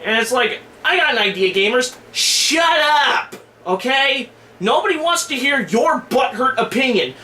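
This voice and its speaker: High, bright-voiced man ranting in echoey room. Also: very animated, measured pace. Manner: informal, griping, blunt slangy delivery. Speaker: online movie and game reviewer, host. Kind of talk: casual opinion rant to camera. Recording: echoey room with faint background noise.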